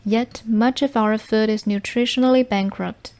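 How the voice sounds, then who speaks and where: A woman narrating short exam passages from a script, with measured, clear phrasing. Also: on the high side, steady tone, darkish tone.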